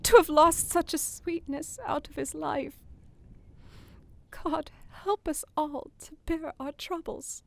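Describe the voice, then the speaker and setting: Woman as sad young victorian wife in audio drama, slow n tender, highish voice, grieving over her diary. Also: steady tone, vocal fry, exaggerated voice. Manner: tender, mournful, deliberate.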